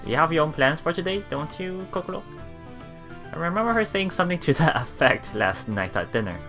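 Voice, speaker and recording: A game streamer reads a visual novel aloud with a lively, swinging pitch. They muse casually between passages, thinking out loud. Soft music plays behind the voice. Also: fairly quick, creaky. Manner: musing, often thinking aloud. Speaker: let's player, narrator.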